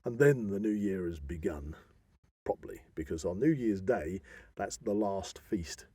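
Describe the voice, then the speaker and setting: A middle-aged British man chats as a podcast co-host in a relaxed, husky voice. He explains things like a practised storyteller, offhand and practical. The sound is very dry, with little room around him. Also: deep voice, measured pace, no echo. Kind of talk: casual podcast chat.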